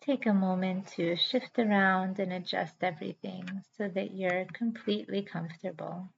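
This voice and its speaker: A woman guiding a yoga nidra relaxation as host, with a soothing, measured manner and deliberate pauses. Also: medium pitch, steady tone, creaky, husky. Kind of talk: guided relaxation meditation. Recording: slightly dull audio with background music. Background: music.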